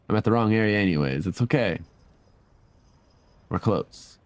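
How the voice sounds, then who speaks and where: A man giving casual live commentary as he streams a game, laid-back, slangy and quick to swear. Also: on the low side, animated, dry recording.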